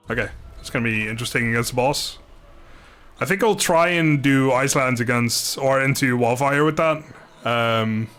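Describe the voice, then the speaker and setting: A man's voice: mid-range voice, a bit bright. A man doing casual live game commentary, chatting informally with viewers, joking and swearing mildly. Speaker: game streamer.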